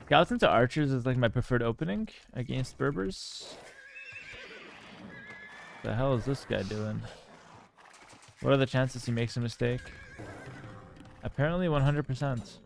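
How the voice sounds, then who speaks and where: A man's voice: medium pitch, a little slow, vocal fry, pauses often, noisy background. Laid-back streamer guy. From Canada. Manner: laid-back, joking, swears casually, reads chat aloud. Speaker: game streamer. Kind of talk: casual live-stream chat while playing.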